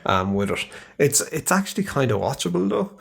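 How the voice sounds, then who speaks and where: Man, casual podcast co-host, rambling and animated, mid pitch. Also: a little fast, gravelly. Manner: rambling, animated, acts out quotes, swears. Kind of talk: casual chat.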